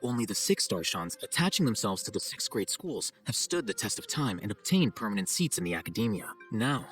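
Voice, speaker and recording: Cool, sardonic young scholar. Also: male voice, fairly low pitch, a little fast, faint music behind. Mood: matter-of-fact. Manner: composed, deadpan, condescending. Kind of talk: video game voice acting.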